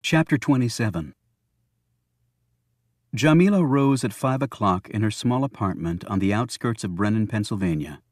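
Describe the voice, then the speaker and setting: Low-voiced male audiobook narrator, dry sound. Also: animated, normal speed, dry recording. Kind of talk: scripted narration of a thriller novel.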